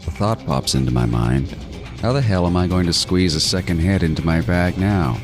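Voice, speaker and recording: A man's voice: low-pitched, normal speed, lightly acted. A man playing a crude, sardonic serial killer who narrates his own hunt in a horror audio drama, with intense, profane, wisecracking delivery. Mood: tense, sardonic. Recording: music behind the voice. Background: music.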